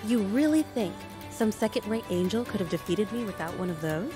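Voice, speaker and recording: Woman playing a poised, mid-pitched demon woman. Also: expressive, fairly quick, over music, lightly acted. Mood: confident. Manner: self-assured. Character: confident, poised demon woman, motherly big sister. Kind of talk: video game cutscene acting.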